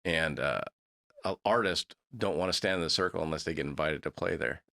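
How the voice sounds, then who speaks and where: A man in his fifties with a deep voice, hosting a podcast and telling rambling, stop-start stories in a casual interview. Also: brisk, gravelly, pushed and bright. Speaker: podcast host.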